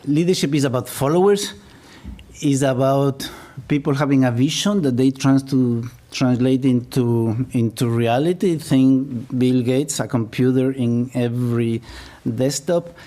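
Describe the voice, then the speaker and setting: A man's voice: medium pitch, unhurried, warm tone. A middle-aged man from Ecuador with an Ecuadorian Spanish accent, Spanish as his first language, giving a public lecture as a business professor with self-deprecating humor. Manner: self-deprecating, chuckles at own jokes.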